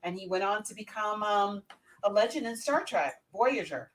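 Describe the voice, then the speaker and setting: A woman's voice: mid-range voice, expressive, moderate pace, poor audio. A woman hosting a casual film discussion, talking with enthusiasm and emphasis.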